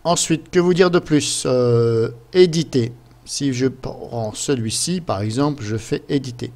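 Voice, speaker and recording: A man's voice: mid-range voice, unhurried, a little mellow. Man, mid-range voice. Informal tutorial presenter, improvising step by step, loose and hesitant delivery. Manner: rambling, hesitant, step-by-step, fond of filler words. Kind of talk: casual improvised screen-share tutorial. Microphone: computer microphone.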